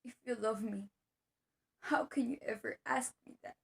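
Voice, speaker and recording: A high female voice from a woman acting plays a devoted teacher and wife who is hurting. The delivery is earnest and pleading, in the manner of an amateur one-act drama. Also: normal speed, vocal fry. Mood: wounded, pleading.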